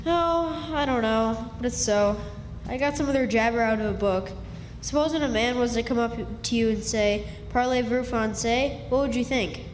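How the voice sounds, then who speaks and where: Lady doing a plucky country boy, folksy know it all, highish voice, radio drama w echo. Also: moderate pace, a little hiss or hum, natural voice. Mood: explaining, sure. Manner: folksy, backwoods boyish delivery. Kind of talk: stage radio drama acting. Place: live stage in a hall. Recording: echoey hall, music behind.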